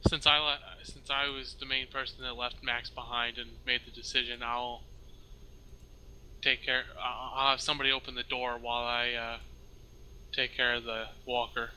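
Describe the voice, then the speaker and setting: Very bright, sharp-voiced man. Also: medium pitch, flat delivery, moderate pace, a little hiss or hum, low-quality recording. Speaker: role-play game player. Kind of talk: casual in-character group chat.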